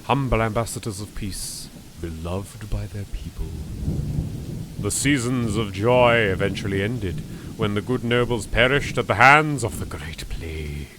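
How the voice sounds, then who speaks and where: A British man narrating a horror game legend as a solemn, ominous storyteller, measured and lingering on phrases. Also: on the low side, background noise, lightly acted. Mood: grave, ominous.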